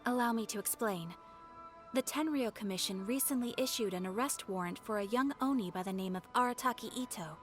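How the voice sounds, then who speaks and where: A poised, courteous guild receptionist played by a woman, speaking in a formal and measured way. Her voice sits a little high, with faint game music behind. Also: close to an everyday voice. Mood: calm. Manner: formal, composed, polite. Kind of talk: game voice acting.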